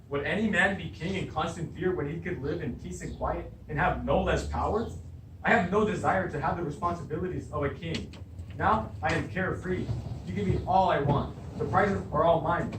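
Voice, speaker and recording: A man's voice: on the high side, normal speed, close to an everyday voice. Young man acting an earnest nobleman, echoey room. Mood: earnest, defensive. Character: loyal, reasonable nobleman defending his honor. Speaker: drama student. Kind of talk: beginner stage readers' theatre. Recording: roomy, distant mic, clear noise.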